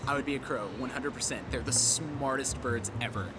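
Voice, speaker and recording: A man's voice: mid-range voice, brisk. A man answering street interview questions outdoors, chatty and enthusiastic. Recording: outdoor street noise, traffic. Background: loud street noise and music.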